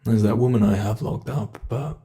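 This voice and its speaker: A man with a British accent voicing a sadistic monster that hides under the bed, in an ASMR horror roleplay, talking slowly and slipping into menacing whispers. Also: fairly low pitch, vocal fry, dark tone. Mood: musing. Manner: slow, gloating, menacing, drops into whispers. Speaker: voice actor.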